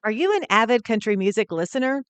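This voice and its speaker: Lady doing a peppy podcast ad, highish voice, super dry. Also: very animated, fast, clean tone, no echo. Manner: upbeat, promotional. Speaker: podcast host. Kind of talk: ad read.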